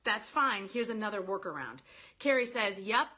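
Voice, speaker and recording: A woman giving informal sales coaching on a live stream, talking directly to her viewers in an animated, chatty way. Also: mid-range voice, normal speed. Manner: animated, informal, addresses listeners directly, quotes sample phrases. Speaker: sales coach hosting a live online training.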